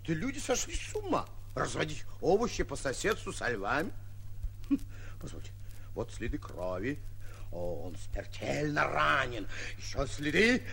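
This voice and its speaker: An actor playing a pompous, excitable, boastful would-be lion hunter in a bombastic, theatrical 1940s radio comedy style, often muttering to himself. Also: male voice, on the high side, gravelly, exaggerated voice. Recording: old 1940s radio recording.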